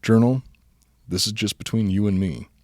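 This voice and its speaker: A man reading a comic bedtime story aloud as a podcast host, mostly deadpan but prone to giggling. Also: lowish voice, moderate pace, no room sound, studio quality.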